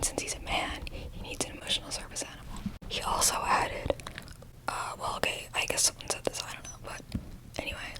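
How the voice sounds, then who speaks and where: A woman whispering close to the mic as she reads posts aloud, with wry asides in between. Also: rough recording. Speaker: ASMR reader. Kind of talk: close-up whispered ASMR reading.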